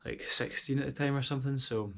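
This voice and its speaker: A young man with a Scottish accent, chatting casually in a vlog as an outdoor instructor, relaxed and full of ums and restarts. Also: mid-range voice, quick pace, vocal fry. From Scotland.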